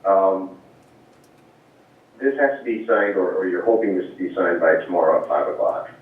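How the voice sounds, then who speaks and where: A man's voice: deep voice, moderate pace. A man on the board, calling in to a meeting, asking careful questions with hesitant restarts. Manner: careful, hesitant, repeats words. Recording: echoey, distant, phone-like line.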